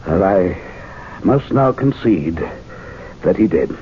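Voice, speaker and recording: An actor playing a club member who speaks in a measured, wondering way in a radio drama, heard as a tinny old broadcast. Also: male voice, deep voice, expressive, slight background noise.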